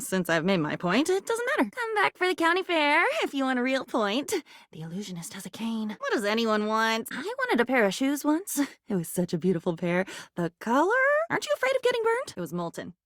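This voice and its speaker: A woman's voice: on the high side, sing-song, fairly quick, no room sound, clean studio sound. An actress voicing a volatile, lonely, dreamy woman in a video game, rambling theatrically from one non sequitur to the next. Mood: scattered, cryptic. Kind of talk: video game character voice acting.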